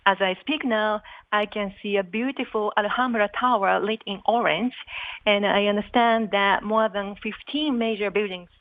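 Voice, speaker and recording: A dry, dull phone line carries a woman speaking as an official guest. She gives formal, prepared answers in an interview at a middle pitch. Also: no room sound. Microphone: phone call.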